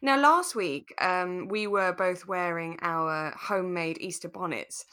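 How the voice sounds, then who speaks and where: A woman's voice: lowish voice, unhurried, bright, forceful tone. Giggly British woman chatting on a podcast. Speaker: podcast host. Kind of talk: casual chat.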